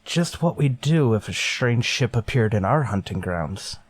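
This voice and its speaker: Wary spaceship captain, clipped and commanding, old sci-fi radio drama style, mid pitch. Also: male voice, animated, unhurried, dry recording. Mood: grim, wary. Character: stern, wary male starship captain. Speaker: actor. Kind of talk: golden age sci-fi radio drama acting.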